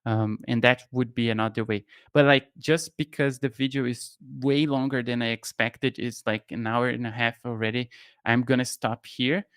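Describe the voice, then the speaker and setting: A man's voice: mid-range voice, steady tone, normal speed, fairly bright tone, clean tone, dry recording, studio quality. A young Brazilian man with a Brazilian Portuguese accent, casually talking through a no-code tutorial on a live stream, thinking aloud with plenty of fillers and restarts. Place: home studio room with shelves. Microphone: studio mic on boom arm, headphones.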